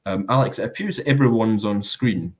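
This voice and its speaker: Deep-voiced man hosting a tasting. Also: brisk. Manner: self-deprecating, hesitant with many ums. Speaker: host of a live whisky tasting. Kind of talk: casual hosting chat.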